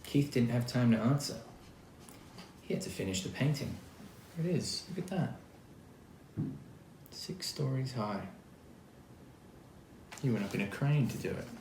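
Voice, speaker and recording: Expressive man reading a storybook, lively pitch. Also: a little slow, pauses often. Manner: expressive, acts out quoted lines, chatty asides. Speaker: storybook reader. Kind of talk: read-aloud of a children's picture book with asides. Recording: roomy echo, faint background noise.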